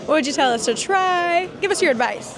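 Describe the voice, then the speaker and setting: A woman answering questions in a quick, upbeat way during a street interview. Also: extremely high pitch, lively intonation. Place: busy city street outdoors. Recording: outdoor street with crowd and music.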